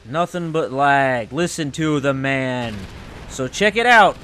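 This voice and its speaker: A man's voice: mid-range voice, animated, takes it slowly, pushed and bright, a little hiss or hum. A man narrating a game video as a mock promotion, with tongue-in-cheek, reverent hype.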